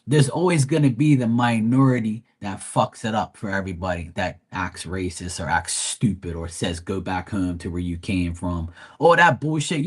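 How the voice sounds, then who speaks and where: A middle-aged man with a Bermudian accent talks in a husky, breathy voice of ordinary pitch. He rants bluntly and swears freely, with fillers and restarts, like an unscripted live-stream host. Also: a little mellow. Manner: blunt, profane and heated, with repeated fillers and restarts. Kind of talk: casual ranting monologue.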